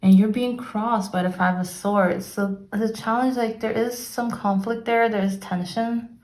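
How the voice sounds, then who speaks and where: A woman's voice: on the high side, fairly even intonation, unhurried, a little mellow. A woman from Brazil giving a casual, upbeat tarot reading, talking with lots of fillers. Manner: chatty, upbeat, many "like" fillers. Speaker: tarot reader. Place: sunny room with plants. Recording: echoey room, faint noise.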